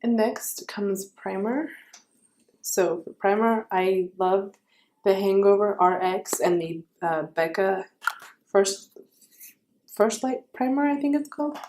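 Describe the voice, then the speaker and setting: Young female beauty vlogger, raspy, warm low-brightness tone, casual chatty tutorial delivery. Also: slow, slight background noise. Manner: chatty, self-correcting, friendly advice.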